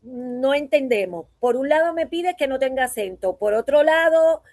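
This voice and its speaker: High-voiced Puerto Rican woman lecturing. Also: lively intonation, normal speed, a little mellow, no echo, clean studio sound. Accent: Puerto Rican Spanish. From Puerto Rico. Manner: conversational, often adds tag questions. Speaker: voice teacher. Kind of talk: conference talk.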